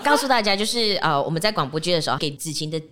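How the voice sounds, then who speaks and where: Animated, lower-pitched Malaysian woman co-host. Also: rapid-fire, crowd noise. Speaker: podcast co-host. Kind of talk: casual podcast chat.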